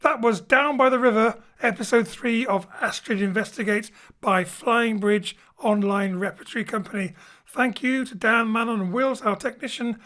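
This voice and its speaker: A man's voice: very high-pitched, moderate pace, sharp tone. A man signs off a live-streamed audio drama, thanking the cast and crew. He sounds upbeat and grateful, rattling through announcements into a home studio mic. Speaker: producer closing the show.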